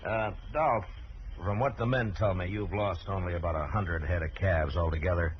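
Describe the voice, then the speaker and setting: A low-pitched, steady frontier marshal played by a man in an old radio western. He is dry, weary and firm, wasting few words. The sound is muffled, with heavy hiss and noise. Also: moderate pace, natural voice. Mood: calm. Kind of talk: 1950s radio western acting.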